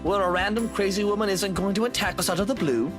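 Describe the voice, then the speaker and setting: A woman voicing a sarcastic, cocky man with a soft spot for his godchild, mocking and dryly dramatic, in a visual novel. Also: medium pitch, fast, lightly acted. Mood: fond, teasing. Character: sarcastic, cocky adult man, fond godfather. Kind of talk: visual novel character voice-over. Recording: music behind the voice.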